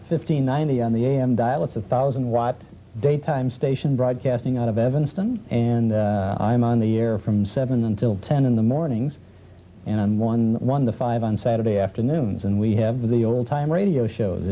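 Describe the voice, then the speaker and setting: A man's voice: medium pitch, mellow. A man who hosts a radio program, chatting as a guest on a 1970s television panel. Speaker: old-time radio show host, talk show guest. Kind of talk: conversational panel talk. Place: television studio. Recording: muffled, faintly noisy 1970s television recording.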